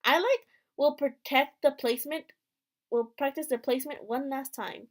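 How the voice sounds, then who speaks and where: Woman putting on a high, girlish young princess. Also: close to an everyday voice. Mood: sheepish, eager. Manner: girlish, halting, peppered with "like". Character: cheerful, scatterbrained young princess, eager to learn.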